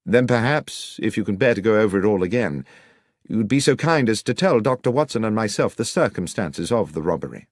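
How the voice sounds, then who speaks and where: A man voicing a shrewd, composed gentleman detective in an audiobook, formal, assured and incisive. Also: fairly low pitch, animated, brisk, dry recording, clean studio sound. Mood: probing. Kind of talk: audiobook character dialogue.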